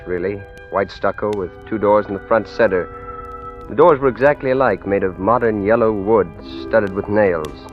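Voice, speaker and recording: An actor playing a brooding, henpecked husband with murder in mind in a 1940s radio suspense play, subdued and hesitant. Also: male voice, lowish voice, natural voice. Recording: dull old radio recording, music underneath.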